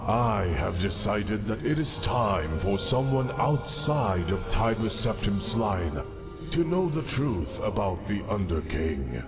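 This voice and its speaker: Cold scheming game villain type, really slow n formal, music playing behind him. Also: male voice, on the low side, sing-song. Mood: grave, confiding. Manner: measured, formal, deliberate. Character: cold, scheming male mystery figure granting dark errands. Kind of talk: scripted video game dialogue.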